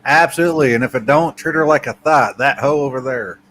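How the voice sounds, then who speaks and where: A man's voice: mid-range voice, brisk, fairly bright tone, a little hiss or hum. A man in casual livestream chat, agreeing emphatically with the others.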